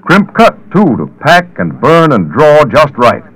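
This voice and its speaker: Casting: American male pitchman, fairly high, unhurried, folksy and persuasive, vintage radio commercial. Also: wildly swooping pitch, a bit bright, husky, poor audio. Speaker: commercial announcer. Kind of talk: 1940s radio advertisement. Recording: vintage 1940s radio broadcast, dry.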